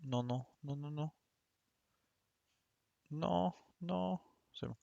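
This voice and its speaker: Male game streamer, gravelly voice, dry close sound, casual and slangy, talks himself through play. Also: fairly high pitch, extremely slow, dark tone, no echo, dull audio. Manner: colloquial, mild swearing, muttering to himself. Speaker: streamer playing a video game. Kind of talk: casual gaming commentary, thinking aloud.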